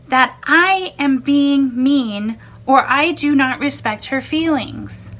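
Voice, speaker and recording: A woman reading a first-person personal essay aloud in a measured, expressive way. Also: high-pitched.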